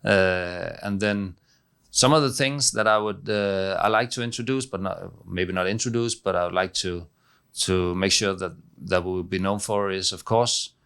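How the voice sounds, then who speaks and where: A middle-aged man with a Danish accent speaks in a very low, smooth, resonant voice. He is a head coach fielding reporters' questions, and he often pauses and restarts his sentences. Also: fairly bright tone. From Denmark. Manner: halting, many fillers and restarts. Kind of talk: press conference answers.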